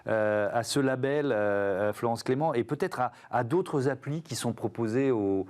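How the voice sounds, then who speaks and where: A man's voice: medium pitch, a little slow, a little mellow. A man hosting a television interview show, talking conversationally with frequent restarts, recorded in a TV studio. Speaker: TV show host. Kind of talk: interviewing guests on air.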